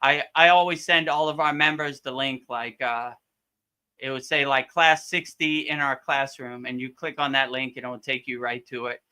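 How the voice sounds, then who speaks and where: A man's voice: medium pitch, fairly even intonation, moderate pace, pushed and bright, clear, resonant tone. A man hosting a live question-and-answer session as a yoga teacher, chatting informally and often repeating and rephrasing his points. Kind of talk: casual live Q&A chat.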